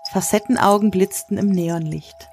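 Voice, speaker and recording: A woman with a husky voice reads scripted literary narration for an audio drama. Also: mid-range voice, steady tone, normal speed, a little mellow, faint music behind.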